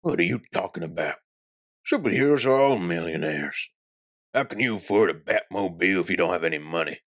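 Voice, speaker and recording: Husky, low-voiced pushy street beggar played by a man. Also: expressive, fairly quick, a bit bright, no room sound, studio quality. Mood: wheedling. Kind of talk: comic dub voice acting.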